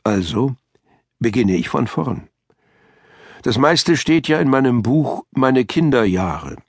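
An actor playing an elderly writer looking back on his life in a last letter, in reflective, measured literary phrasing. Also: mid-range voice, big pitch swings, no room sound, clean studio sound, full character voice. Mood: musing, resolved. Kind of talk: first-person audiobook memoir narration.

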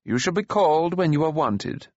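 A man's voice: a little high, lively intonation, normal speed, a little mellow, dry recording, muffled recording, voice pushed up. A man voicing an old enchanter in a storybook, unperturbed, stern and curtly dismissive. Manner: curt, dry dismissiveness.

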